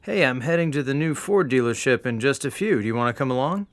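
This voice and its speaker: A man's voice: mid-range voice, expressive, fast talker, no room sound, lightly acted. A man playing an earnest, fatherly middle-aged dad in an audio drama. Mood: easygoing.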